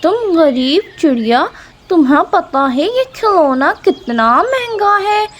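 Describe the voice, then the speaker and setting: A woman's voice: very high-pitched, very animated, takes it slowly, slight background noise, exaggerated voice. A woman voicing a haughty, scornful rich girl bird who scolds and accuses in a cartoon.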